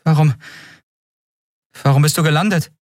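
A man's voice: fairly high pitch, steady tone, moderate pace, darkish tone, no echo, clean studio sound, voice pushed up, close to an everyday voice. A man voicing a frightened yet defiant young woman in an audiobook, gasping and strained. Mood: confused. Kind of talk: dramatic audiobook character voice.

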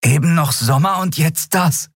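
A man's voice: a little high, measured pace, husky, dry recording, studio quality, pitched above the performer's own voice. A man voicing a pale young crew member who talks in an eager, chatty way.

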